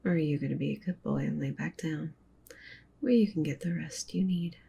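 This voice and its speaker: A woman voicing a doting, possessive, dominant wolf witch girlfriend in an ASMR roleplay, softly coaxing and commanding in a breathy near-whisper. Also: brisk, exaggerated voice. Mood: coaxing, firm. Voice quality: soft, breathy whisper mixed with low murmur.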